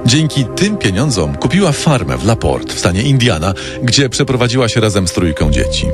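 A man's voice: fairly low pitch, sing-song, brisk. A man narrating a scripted true-crime story with music behind him.

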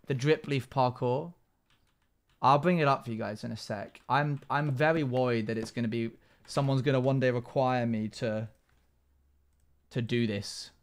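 A man in his twenties giving casual, chatty commentary on a game stream, reading out chat messages and replying. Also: medium pitch. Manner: chatty, reads chat messages aloud and answers. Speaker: live streamer. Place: small home room, indoors.

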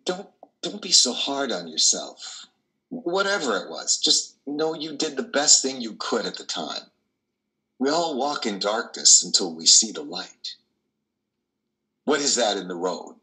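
An older man playing a compassionate, gentle priest in a play reading, measured and reassuring, with hesitant pauses. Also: mid-range voice, sing-song, heavily acted, low-quality recording. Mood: earnest reassurance. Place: indoor wood-trimmed room on a video call.

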